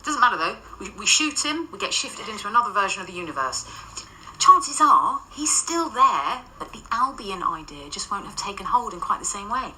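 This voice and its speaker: An actress in a radio drama playing a jaded, flippant paranormal investigator with a wry, offhand manner. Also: female voice, medium pitch, big pitch swings, normal speed, pushed and bright, poor audio. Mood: explaining, impatient. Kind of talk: naturalistic radio drama acting. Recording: faint noise and ambience.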